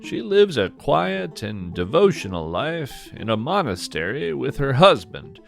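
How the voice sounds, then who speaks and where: A man's voice: fairly high pitch, gravelly, a bit bright. A man hosting a podcast, reading scripted thanks and acknowledgements. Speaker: podcast host.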